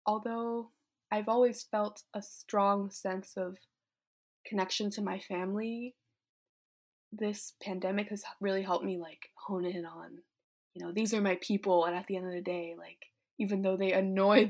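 A woman's voice: medium pitch, little pitch movement, measured pace, a little mellow. A fifteen-year-old young woman from Vietnam reflects casually and candidly on her life, thoughtful, often dropping in "like" and "you know". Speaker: teenage climate activist.

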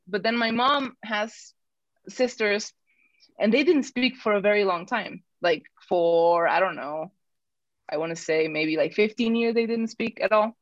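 A woman's voice: mid-range voice, a bit bright, dry recording. Woman from mexico on a podcast, chatty and rambly, dry kinda muffled audio. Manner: chatty, lots of "like" and restarts. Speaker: podcast guest. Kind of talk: casual personal storytelling.

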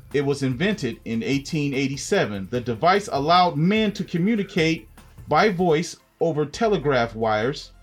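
A man reading a scripted factual segment aloud. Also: fairly high pitch.

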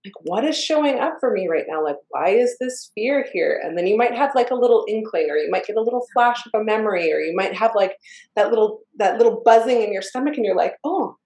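A woman hosting a casual podcast chat, and she talks with earnest, storytelling energy. Her voice sits at a middle pitch. The recording has a noticeable roomy echo. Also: animated, fast talker, darkish tone. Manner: earnest, storytelling, acts out quotes. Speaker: podcast host. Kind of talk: casual conversational interview.

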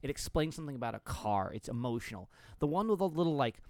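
Male host, average pitch, halting questioner, dry studio mic. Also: big pitch swings, no echo. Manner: prodding questioner, halting restarts. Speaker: podcast host. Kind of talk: casual interview chat.

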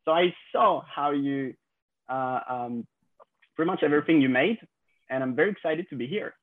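A man in his twenties with a French accent, a podcast guest telling his story in a halting way, with many fillers and restarts. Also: on the high side, narrow, tinny audio. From France. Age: 20s. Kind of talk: casual interview storytelling.

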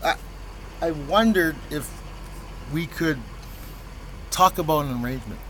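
A man playing a hesitant, apologetic landowner in an audio drama, talking in a halting, low-key, indirect way. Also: fairly bright tone, slight background noise, low-quality recording. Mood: hesitant, pleading. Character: hesitant, apologetic male landowner asking for money. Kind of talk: naturalistic audio drama dialogue.

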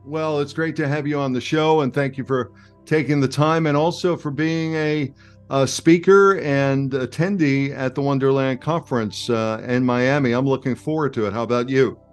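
A man's voice: mid-range voice, steady tone, normal speed, clean tone, soft background music. An older man hosting a podcast, reading a scripted intro and then chatting loosely with a guest, with some hesitations. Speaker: podcast host.

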